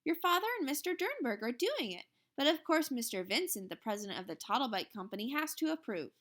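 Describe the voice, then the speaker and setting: A woman's voice: sits high, very animated, brisk, a bit bright, heavily acted. A woman voicing a friendly, helpful young office worker at an advertising agency in a storybook reading. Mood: explaining.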